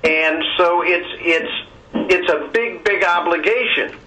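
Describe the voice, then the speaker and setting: A man with a fairly high, bright and forceful voice speaks as a board member on a conference call. He argues in halting, repeated phrases, often checking in with "okay", and sounds distant on the telephone line. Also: slight background noise. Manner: halting, repeats words, adds "okay" as a tag.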